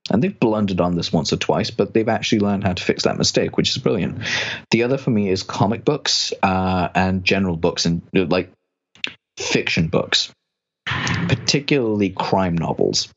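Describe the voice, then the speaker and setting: A man's voice: sits low, expressive, normal speed, lo-fi sound. A man with a transatlantic accent and hard R's, chatting casually as a guest about copywriting and voice work. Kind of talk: casual podcast chat.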